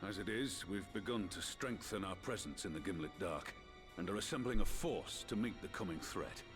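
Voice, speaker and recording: An actor playing an earnest, authoritative military leader in a game cutscene, with formal, commanding speech. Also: male voice, low voice, normal speed, music in the background, close to an everyday voice. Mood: earnest, urging. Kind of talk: video game cutscene voice acting.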